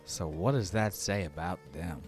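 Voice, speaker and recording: A man's voice: low-pitched, moderate pace, soft background music, pitched below the performer's own voice. A man playing a weary, plain-spoken village friend in a tabletop fantasy game. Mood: uneasy, weary.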